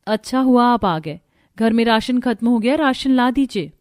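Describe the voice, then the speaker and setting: A woman playing a worried, patient young daughter-in-law and mother in a cartoon story, gentle and respectful. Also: fairly high pitch, animated, normal speed, natural voice.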